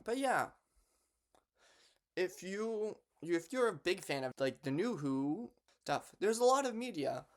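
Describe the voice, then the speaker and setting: A young man chats informally as a vlogger, with pitch that moves around a lot as he goes. His delivery is halting and hesitant, often breaking off and starting phrases again. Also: big pitch swings. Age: young adult. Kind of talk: unscripted casual talk to camera. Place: bedroom in a home.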